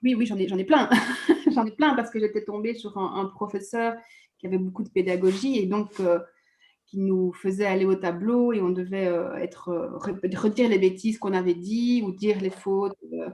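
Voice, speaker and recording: A woman of middling pitch talks through her struggles in a halting, hesitant way. She restarts her sentences often and laughs at herself. The room adds a noticeable echo. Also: a little mellow. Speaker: therapy client. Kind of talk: personal conversation.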